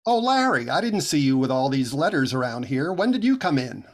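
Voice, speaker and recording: A man's voice: medium pitch, very animated, natural voice. A man playing a vain, put-upon radio comedian boss with fussy, exasperated delivery, in 1940s radio comedy style. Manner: fussy, exasperated, drawn-out retorts.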